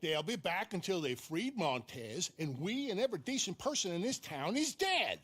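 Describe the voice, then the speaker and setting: An actor playing a nervous, wordy small-town sheriff with an American accent in a Western game cutscene. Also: male voice, sits high, normal speed, husky, exaggerated voice. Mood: anxious. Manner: anxious, wordy, excitable. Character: nervous, excitable small-town Old West sheriff, male. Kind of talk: video game cutscene acting.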